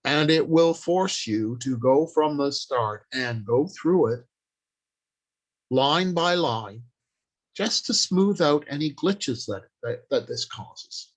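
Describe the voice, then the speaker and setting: An older man presenting an informal instructional talk in a halting, deliberate, explanatory way. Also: medium pitch, lively intonation. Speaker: workshop presenter.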